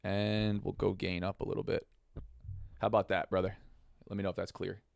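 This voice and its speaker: A hoarse man with a raspy smoker's voice, chatting casually to viewers as the host of a livestream, with an informal, buddy-like way of explaining things. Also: low-pitched, level tone, fairly quick, dry recording, studio quality. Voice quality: raspy smoker's voice, partly lost. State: hoarse, voice half gone. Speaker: host of a live trading stream.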